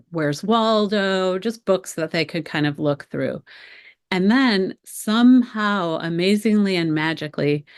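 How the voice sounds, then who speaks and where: A middle-aged American woman with a low-pitched voice leads a webinar for fellow teachers. She explains ideas conversationally, with informal asides to the viewers. The sound is dry, with very little room echo.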